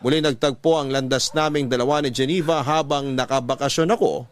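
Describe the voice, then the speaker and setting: A man's voice: mid-range voice, steady tone, brisk, lightly acted. An actor playing a middle-aged nursing assistant recounting his love story as a scripted radio letter, with a Filipino accent. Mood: reflective, sincere. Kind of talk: scripted first-person radio letter narration.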